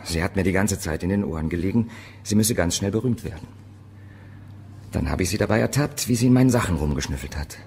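Prickly photographer for crime radio play: deep, gruff, curt, in a long reverb. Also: male voice, fast, a little mellow. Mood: dismissive. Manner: curt, evasive. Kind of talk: German radio crime drama acting. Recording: reverberant large room, background noise.

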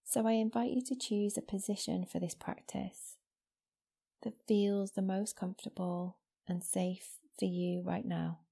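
A woman guiding a meditation as a yoga nidra teacher, speaking slowly and gently in a soft, soothing voice with long pauses. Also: mid-range voice, steady tone, creaky, slightly rough. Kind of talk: guided meditation. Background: new-age music.

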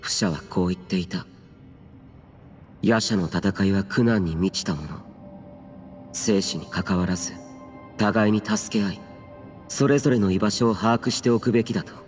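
A man's voice: lowish voice, moderate pace, pauses often, darkish tone, natural voice. A man acting in a Japanese game as a stern, aloof, youthful immortal warrior who speaks tersely and solemnly in archaic words. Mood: grave. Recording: long reverb, faint music behind.

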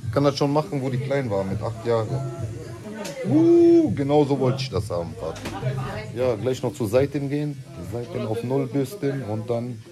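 A man's voice: mid-range voice, big pitch swings, normal speed. Joking man vlogging with music behind. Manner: chatty, joking, addresses viewers directly. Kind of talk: casual vlog narration to camera. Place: hair salon and city street. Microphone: handheld camera at arm's length.

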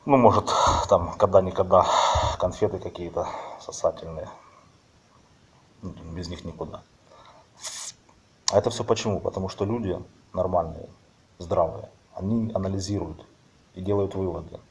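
A man's voice: deep voice, normal speed, pauses often, a little mellow, slight background noise. A middle-aged man records himself on a phone, speaking sarcastically and swearing coarsely. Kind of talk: sarcastic monologue to camera. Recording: dull lo-fi phone audio.

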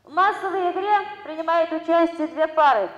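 A woman's voice: very high-pitched, moderate pace, highs cut off. An actress playing an officious young woman who runs party games in a Soviet radio play, with bossy, stilted announcer phrasing. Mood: insistent. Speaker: evening entertainer. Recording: noisy crowd behind. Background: noisy crowd in a hall.

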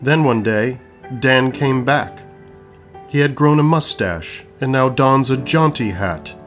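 A man's voice: mid-range voice, takes it slowly, fairly bright tone. A man narrating an audio play, reading scripted stage directions. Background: music.

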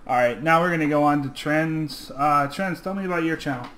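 A man's voice: a little high, fairly quick, a bit bright. A man hosting a casual online interview, upbeat but unsure, with lots of fillers. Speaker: interviewer, channel host. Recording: faint background noise.

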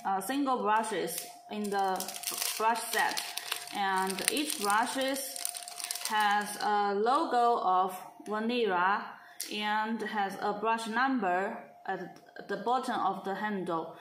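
A woman from a brush company unboxing a gift set at a table, speaking in halting, simple phrases with frequent restarts. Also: medium pitch, a bit bright, roomy sound, a little hiss or hum. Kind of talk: product unboxing walkthrough. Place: indoor showroom at a marble table.

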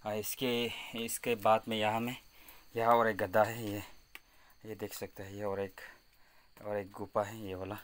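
Halting Northeast Indian man, even pitch. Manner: halting, repetitive, simple phrasing. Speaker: vlogger exploring a cave. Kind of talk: casual on-location narration. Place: outdoors at a forested cave mouth. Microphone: handheld phone.

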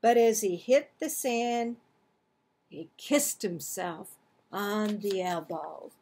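Storyteller, woman in her 60s: husky voice, unhurried pace, expressive children's read-aloud. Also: medium pitch. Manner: expressive, acts out dialogue and action. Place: small home room, indoors.

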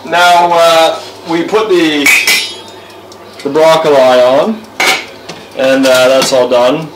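A man's voice: on the high side, level tone, slow, noisy background. A young man casually talking through cooking a roast in his home kitchen, rambling with lots of fillers. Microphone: camera mic from across the room. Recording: roomy, distant camera sound.

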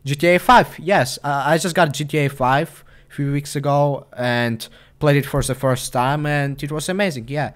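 Teen with a Ukrainian accent. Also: male voice, on the high side, fairly even intonation. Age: teens. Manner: earnest, halting, many restarts. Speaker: gaming channel host. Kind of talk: casual vlog talk.

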